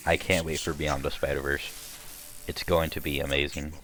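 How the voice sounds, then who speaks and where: A young man with a slightly raspy voice, chatting casually and rambling while commenting on his gameplay. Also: extremely low pitch, flat delivery, moderate pace, fairly bright tone, slight background noise, poor audio. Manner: rambling, chatty, many restarts and fillers. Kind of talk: casual let's-play chat.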